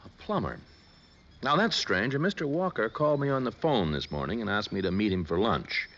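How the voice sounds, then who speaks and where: An actor playing a nervous, polite chemist in an old radio drama, halting and flustered as he speaks. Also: lowish voice, very animated, fairly bright tone. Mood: puzzled. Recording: muffled vintage radio recording.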